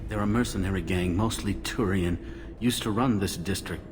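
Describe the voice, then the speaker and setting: A man's voice: deep voice, normal speed, natural voice. An actor playing a wary, weary man sheltering in quarantined slums in a video game, speaking grimly and matter-of-factly. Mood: grim, informative. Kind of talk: video game dialogue acting. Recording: music playing behind the voice.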